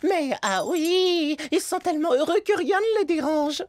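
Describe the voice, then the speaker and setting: Cartoon microbe sidekick boy: high, animated, nervous, fussy, earnest. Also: a little slow. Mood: fretful, ranting. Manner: hesitant, confessional, fussy. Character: Pod, earnest, nervous young microbe boy, brainy best friend. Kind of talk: animated children's cartoon dub.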